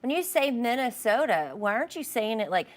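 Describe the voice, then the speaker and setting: A middle-aged woman from rural Oklahoma with a light Oklahoma accent, a TV anchor co-hosting a morning show, relaxed and teasing. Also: on the high side, brisk. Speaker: TV anchor and co-host. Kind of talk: casual morning show chat.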